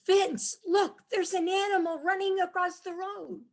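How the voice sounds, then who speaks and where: A woman's voice: extremely high pitch, measured pace. A woman playing a cheerful New York wife charmed by the countryside, chatty and dreamy, in a staged reading of a comic play. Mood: curious. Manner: chatty, dreamy, easily excited.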